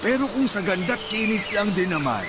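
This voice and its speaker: An actor with a Filipino accent playing a sly, jovial, lecherous drinking buddy of sixty in a Tagalog radio drama. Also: male voice, high voice, very animated, fairly quick, very noisy.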